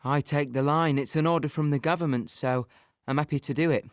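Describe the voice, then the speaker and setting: A man's voice: fairly high pitch, fairly even intonation, brisk, no echo, clean studio sound, natural voice. An actor playing a devout, dutiful young British infantryman telling of his war in an audio play. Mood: wistful, resigned. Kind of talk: dramatic monologue recounting wartime memories in present tense.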